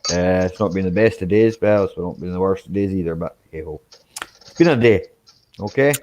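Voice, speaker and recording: Easygoing man with a low voice. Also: fairly quick, darkish tone, muffled recording, a little hiss or hum. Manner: rambling, easygoing sign-off chatter. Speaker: streamer hosting a group chat. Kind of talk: casual online chat.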